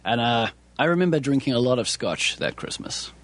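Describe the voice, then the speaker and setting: A man in a deadpan comedy chat, answering absurd questions in a dry, matter-of-fact way. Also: medium pitch, brisk. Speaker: comedy co-host.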